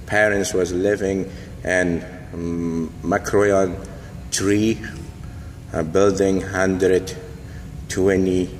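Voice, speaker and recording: A man's voice: low voice, little pitch movement, very slow, long pauses. A man from Afghanistan, a boxing coach, telling his life story to a phone camera in a large indoor gym, hesitant with many fillers and phrasing. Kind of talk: casual personal storytelling to camera. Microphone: phone held in mirror selfie. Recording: long gym reverb, faint background hum.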